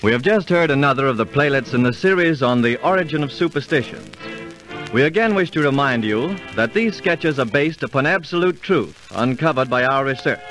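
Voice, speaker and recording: A man's voice: medium pitch, a bit bright, low-quality recording. Formal old-time radio announcer man. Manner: formal, explanatory.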